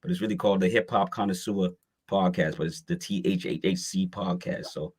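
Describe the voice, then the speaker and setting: A man's voice: low voice, fairly even intonation, fairly quick, darkish tone, breathy edge. A man talking informally and chattily as a podcaster joining a show as guest co-host. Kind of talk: casual chat.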